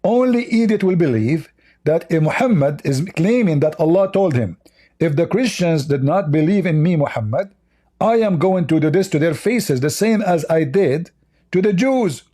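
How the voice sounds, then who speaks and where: A man's voice: on the high side, lively intonation, moderate pace, dark tone, breathy edge. A man who is a non-native English speaker, debating religion in an argumentative, repetitive way and cutting in on the other speaker. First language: not English.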